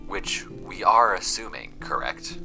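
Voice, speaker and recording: An irritable skeptic in an audio drama. His very low voice swings up and down animatedly as he rambles, sardonic and dismissive, with music clearly behind him. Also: big pitch swings, takes it slowly, pushed and bright, full character voice. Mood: guarded, resigned. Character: skeptical, irritable nephew going through uncle's case files. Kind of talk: audio drama, conversational monologue. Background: music score.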